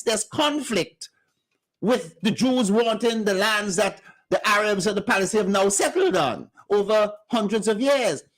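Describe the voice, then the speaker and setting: A man's voice: high-pitched, rough recording. A man co-hosting a radio talk show, lecturing insistently and talking over the others in a heated discussion. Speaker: radio co-host. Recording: radio studio.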